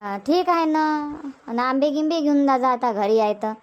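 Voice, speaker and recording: A woman's voice: high-pitched, moderate pace, muffled recording, close to an everyday voice. A woman playing a fussy middle-aged village mother in a comedy cartoon, chatty and insistent, repeating herself in Vidarbha Marathi accent. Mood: resigned, fussing. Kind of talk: homemade comedy cartoon dubbing.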